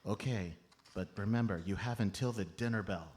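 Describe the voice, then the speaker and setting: A man's voice: lowish voice, fairly quick, darkish tone, lightly acted. A man playing a tiny, solemn elf foreman with a long-winded official manner in a live comedy radio play. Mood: pressured, serious. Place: live on stage at a comedy club.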